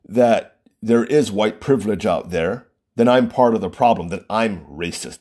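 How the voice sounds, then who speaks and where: A man talking alone in casual, opinionated commentary, often restarting sentences and checking in with "right?" and "you know". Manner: lively pitch swings, frequent "right?" and "you know", self-interrupting restarts.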